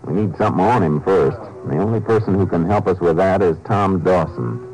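An actor playing a polite, determined Texas Ranger with an American accent, speaking in measured, laconic 1950s radio drama style. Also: male voice, low voice, a little mellow, rough recording. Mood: thoughtful. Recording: old 1950s radio broadcast.

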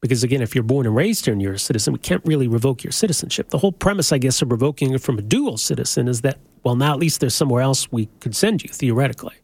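A man hosting a call-in talk radio show, questioning callers in a quick, conversational way. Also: mid-range voice, animated, gravelly, a little mellow, husky, no echo.

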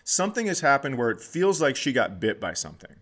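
A middle-aged comedian hosting a podcast, with a voice that sits in the middle of the male range. He tells stories with lots of energy and slips into quick impressions of other people. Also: mid-range voice. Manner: animated anecdote-telling, mimicking others in quotes. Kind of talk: comic storytelling chat.

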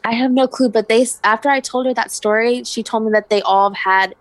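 A woman telling personal stories in a relaxed, casual way. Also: on the high side, brisk, no room sound. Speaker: guest. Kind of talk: casual storytelling.

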